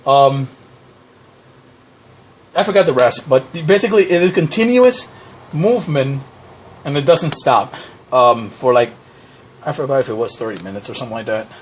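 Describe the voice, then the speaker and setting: A man's voice: medium pitch, moderate pace, pauses often, slight background noise. A young man from Puerto Rico whose first language is Spanish, rambling through a casual show-and-tell with many fillers and restarts. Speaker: vlogger. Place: dim room at a desk. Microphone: handheld camera pointed at desk.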